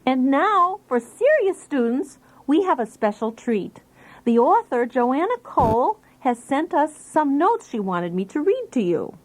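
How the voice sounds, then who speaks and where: A woman playing a brisk, chirpy, eccentric schoolteacher in a children's audiobook, with deliberate, commanding enunciation. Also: sits high, sing-song, rough recording. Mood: playfully formal. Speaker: teacher character in children's read-along.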